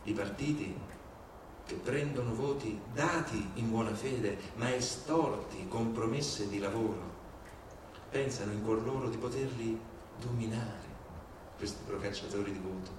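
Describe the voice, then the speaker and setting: A man performing a theatrical civic monologue, deliberate and rhetorical, with indignation and dramatic pauses. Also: on the low side. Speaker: stage performer. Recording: very echoey, distant, clearly noisy.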